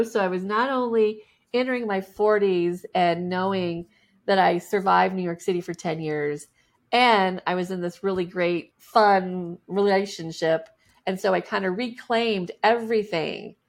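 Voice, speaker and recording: A woman guest candidly telling her life story in a casual interview, often laughing as she talks. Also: lowish voice.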